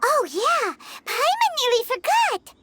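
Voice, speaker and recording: A tiny fairy sidekick pipes up in an extremely high, squeaky, childlike voice. Her delivery is eager, blunt and very animated, full of exclamation, like a chatty video game companion. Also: takes it slowly, full character voice. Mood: excited. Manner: exclamatory, pleading, refers to herself by name.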